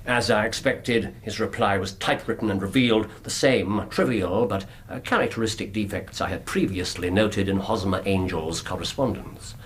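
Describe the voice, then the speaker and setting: An actor playing a brilliant Victorian English detective in a radio drama, explaining things with theatrical precision. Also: male voice, fairly low pitch, normal speed, hardly any pauses, a little hiss or hum, lightly acted. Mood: precise, satisfied.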